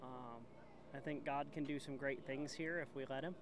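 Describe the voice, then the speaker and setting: A man's voice: medium pitch, fairly even intonation, normal speed, noisy background. A young man from Pennsylvania answering interview questions in an easygoing, hopeful way. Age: young adult. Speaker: mission engineer.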